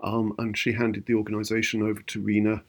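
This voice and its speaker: A man co-hosting a podcast, chatting casually in a halting, emphatic way and repeating phrases to stress his point. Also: lowish voice, completely flat delivery. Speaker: podcast co-host and writer. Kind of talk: casual chat.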